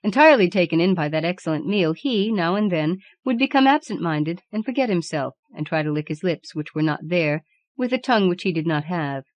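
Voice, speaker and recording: A woman's voice: lowish voice, expressive, brisk, no room sound, muffled recording. A woman reading fiction aloud as a scripted audiobook narration. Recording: dry audiobook recording from cassette.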